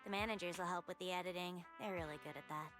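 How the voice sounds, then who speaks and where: A woman's voice: on the low side, steady tone, fast talker, over music, close to an everyday voice. A woman voicing a teenage schoolgirl in anime-style game dialogue. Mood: hesitant, low-key. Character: teenage schoolgirls chatting about a group project.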